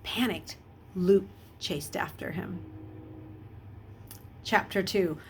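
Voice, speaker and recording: A woman reading a children's novel aloud as an expressive storyteller, with a husky voice. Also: fairly low pitch, takes it slowly, a little hiss or hum. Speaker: audiobook reader.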